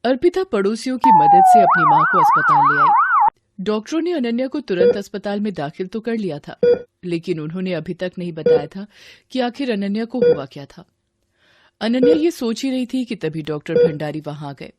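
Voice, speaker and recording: A woman's voice: a little high, huge pitch swings, moderate pace. A woman giving dramatic scripted narration of a horror story.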